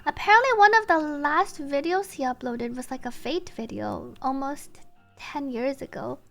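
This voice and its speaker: A woman streaming a game in a very high voice. She chats casually and in a giggly way, reacting and reading subtitles out loud, with faint music behind her. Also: normal speed, gravelly.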